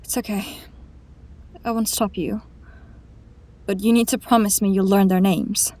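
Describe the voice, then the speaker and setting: Slow-spoken, righteous fantasy heroine played by a woman. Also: mid-range voice, steady tone, a little mellow, close to an everyday voice. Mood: calm, earnest. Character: tall, grieving, righteous young woman in inquisitor's disguise. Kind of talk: fantasy audio drama acting.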